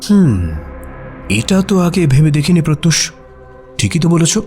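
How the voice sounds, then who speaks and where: A man's voice: on the high side, big pitch swings, a little slow, music in the background. A man playing a curious writer and detective's companion, narrating a radio story in the first person with expression.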